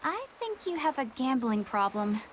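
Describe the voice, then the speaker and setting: High-voiced prim, serious young woman. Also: big pitch swings, moderate pace, slight background noise. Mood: earnest, disapproving. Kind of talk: English anime game dub.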